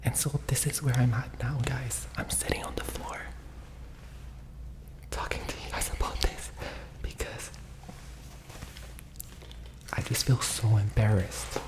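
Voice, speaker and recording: A man's voice: medium pitch, measured pace, long pauses, rough recording. A young man in his twenties, whispering an ASMR-style vlog from the floor, dramatic and self-mocking. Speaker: vlogger. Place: sitting on a floor indoors.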